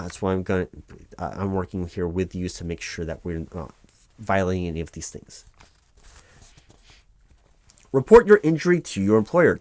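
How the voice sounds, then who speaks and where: A man's voice: fairly low pitch, a little mellow, dry recording, close to an everyday voice. A man playing a reassuring, rambling office helper who talks through paperwork in a spoken-aloud ASMR roleplay. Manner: rambling, hesitant asides with stammered repeats, reassuring. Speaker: roleplay performer playing a workers' compensation claims helper. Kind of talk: loud-spoken ASMR roleplay, reading forms aloud with ad-libbed asides.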